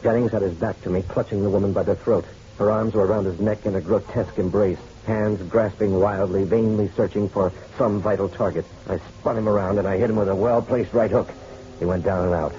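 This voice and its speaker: An actor as a low-voiced hardboiled private eye. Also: male voice, steady tone, warm tone, a little hiss or hum, natural voice. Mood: tense narration. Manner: wry, unhurried, tough. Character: hardboiled male private detective, dry and worldly. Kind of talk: radio drama acting with noir first-person narration. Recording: dull lo-fi radio drama recording.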